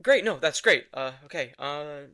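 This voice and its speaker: Man for awkward male vampire in fantasy romance audio drama; tense edge, mid-pitched, curt and flustered, very dry sound. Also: animated, bright, forceful tone, no room sound. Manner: halting, flustered, terse. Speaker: voice actor.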